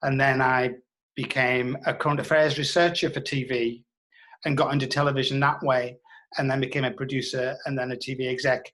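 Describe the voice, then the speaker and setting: A man's voice: mid-range voice, flat delivery, brisk, a bit bright, rough recording. A British man in his sixties, reminiscing as a guest in an interview. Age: 60s. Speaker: interview guest, former television producer and journalist.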